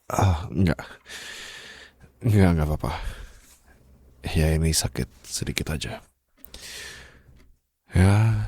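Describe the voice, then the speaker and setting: Casting: male actor as tender mafia boss lover, Indonesian accent, extremely low husky register, unhurried hushed delivery for intimate ASMR roleplay. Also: dry recording, full character voice. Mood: gentle, affectionate. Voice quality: deep, gravelly, husky. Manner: slow, soft, hushed, pausing, gently reassuring. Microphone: close studio condenser microphone.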